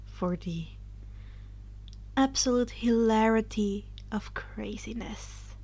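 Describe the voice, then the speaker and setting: High-voiced, slow-paced woman storyteller. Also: mellow, breathy edge. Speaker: fan fiction reader. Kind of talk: dramatic storytelling narration.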